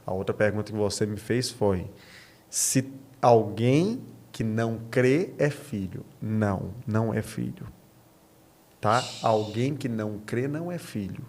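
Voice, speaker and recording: A man's voice: on the low side, a little slow, a little mellow, slight background noise. A man with a Brazilian accent speaking as a pastor on a podcast, teaching conversationally, posing questions and answering them himself, repeating key phrases.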